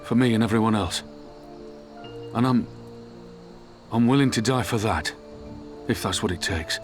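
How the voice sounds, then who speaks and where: A man acts out a fantasy game soldier with a low voice. He is humble, earnest and plain-spoken, with music playing softly underneath. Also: moderate pace, close to an everyday voice. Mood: deferential, earnest. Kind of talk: dramatic fantasy game acting.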